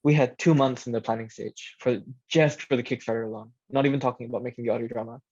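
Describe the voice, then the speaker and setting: A guest speaker with a somewhat low voice talks casually and earnestly in a workshop setting. The phrasing restarts often and is peppered with "like". The sound is dull and lo-fi, as on an online call. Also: fairly even intonation, fast talker, darkish tone, slightly rough. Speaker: guest speaker, audio drama creator.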